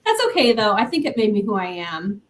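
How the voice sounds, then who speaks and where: A woman's voice: medium pitch, huge pitch swings, a little fast, crowd noise. Laughing woman guest from Iowa. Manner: friendly, laughs often. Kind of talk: casual interview.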